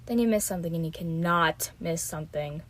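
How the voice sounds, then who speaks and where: A woman's voice: fairly low pitch, a little fast, lightly acted. A woman playing an eager, chatty and earnest young student who loves cars, in a detective radio drama. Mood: eager, friendly.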